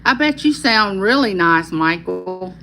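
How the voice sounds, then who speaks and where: A woman with a Southern Arkansas accent hosts a casual livestream, reading viewer comments aloud and answering each by name in a calming voice. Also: medium pitch, measured pace, faint music behind, rough recording. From California. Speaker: livestream host.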